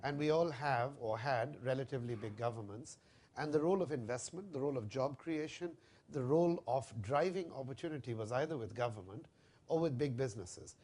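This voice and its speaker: A man answering a question on a conference panel, speaking fluently and in a measured, expansive way. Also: mid-range voice, darkish tone. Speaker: panelist, investment firm founder. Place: conference hall.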